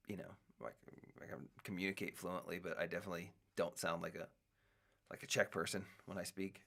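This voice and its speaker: A middle-aged man with a low, gravelly voice talks loosely on his own show. He drifts from thought to thought, restarting sentences and filling gaps with fillers as he muses. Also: a bit bright. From United States. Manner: rambling, musing, many restarts and fillers. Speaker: podcast host. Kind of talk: casual solo talk.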